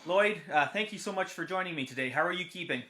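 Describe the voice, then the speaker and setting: Higher-voiced man hosting, echoey room. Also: expressive, quick pace, a crowd in the background. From Canada. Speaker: host, member of parliament. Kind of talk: informal welcome and interview. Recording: echoey, reverberant room sound.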